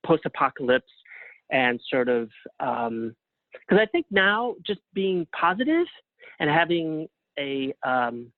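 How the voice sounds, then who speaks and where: A man speaking as an interview guest in a casual, thoughtful, halting way over a tinny phone-like connection. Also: a little high, very animated, no room sound. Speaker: interview guest, playwright and artist. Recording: narrow tinny phone-like line.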